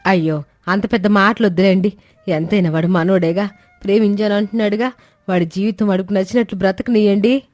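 A woman voicing a kindly, anxious older mother-in-law, soothing and pleading as peacemaker in an animated moral story. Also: medium pitch, brisk, dry recording, lightly acted.

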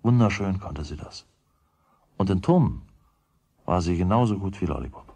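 An actor playing the storyteller, narrating a German children's radio play from a script. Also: male voice, low voice, lively intonation, normal speed, a little mellow, breathy edge, no room sound, full character voice. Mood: calm storytelling. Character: male storyteller of a children's tale.

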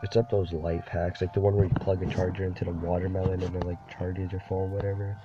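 A man's voice: deep voice, level tone, quick pace, very noisy. A young man with a cold, rambling casually over gameplay as a gaming YouTuber and saying "dude" a lot. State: sick, voice deeper than usual. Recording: dull lo-fi recording with game music behind. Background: game music.

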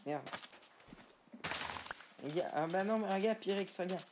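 A man's voice: high voice, measured pace. A man hosting a casual gameplay chat, stammering and repeating filler words in long runs. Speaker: gaming channel host. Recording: background noise.

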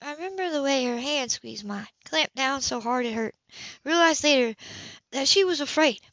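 A woman reader plays a young woman, wounded but unflinching, in an audiobook. Her voice is fairly high and controlled, with a slightly dull home-recorded sound. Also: lively intonation, moderate pace, no room sound. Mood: quietly haunted. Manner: quiet, matter-of-fact recounting.

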